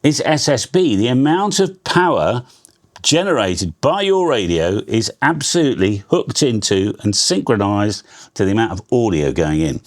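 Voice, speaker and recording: A man giving a casual hands-on radio tutorial, a loud, energetic talker who explains in a chatty way with frequent restarts. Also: lowish voice. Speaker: radio channel host.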